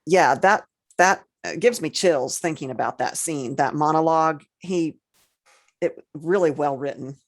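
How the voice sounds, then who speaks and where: Female co-host, deep-pitched for a woman, conversational and emphatic, close dry recording. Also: a little slow, no room sound. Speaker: podcast co-host. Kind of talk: casual chat, recounting film examples.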